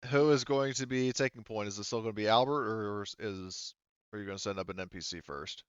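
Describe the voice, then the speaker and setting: A man acting as game master, casually narrating a tabletop game with halting, self-interrupting phrasing. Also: fairly low pitch, clean tone, no echo, lo-fi sound.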